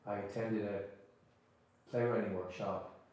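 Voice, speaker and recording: Low-voiced man rambling in a very echoey room. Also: steady tone, unhurried, darkish tone. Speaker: writer and poet at a workshop. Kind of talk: casual chat. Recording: echoey room, distant mic, faint noise.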